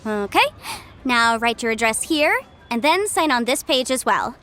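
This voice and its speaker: A woman's voice: a little high, lively intonation, normal speed, a bit bright. A young woman voicing a legal adviser character in a game cutscene, speaking in a composed, precise, businesslike way. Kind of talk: video game cutscene voice acting. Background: faint game music.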